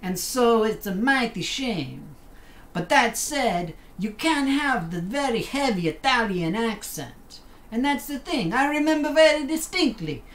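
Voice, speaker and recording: A woman's voice: fairly high pitch, animated, a little slow. A woman streaming a game from her desk, chatting casually, joking around, trying out put-on accents and talking back to the game. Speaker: gaming streamer. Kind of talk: casual live-stream commentary. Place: home room at a desk. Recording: roomy with faint room hum.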